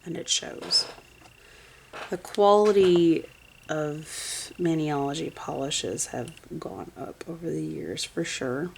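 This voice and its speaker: A woman's voice: low voice, expressive, slow, gravelly, a little mellow, slight background noise, low-quality recording. A woman in her thirties, congested, chatting casually as she swatches and compares nail polish, often dropping to a whisper while she works. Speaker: nail art vlogger.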